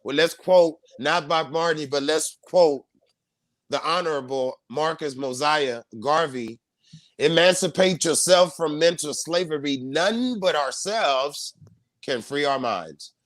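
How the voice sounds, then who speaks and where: Guy hosting a live panel, kinda high voice, punchy preachy repeats, dead dry sound. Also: slow, a bit bright, dry recording. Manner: emphatic, repeating key phrases in a preaching cadence. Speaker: host. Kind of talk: live online panel talk.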